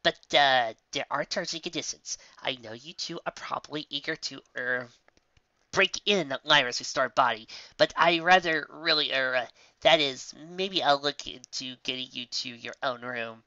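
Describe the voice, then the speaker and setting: A man voicing a nervous, proud young female pony magician who talks in a flustered, halting way in a story. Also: mid-range voice, pushed and bright, no echo, highs cut off.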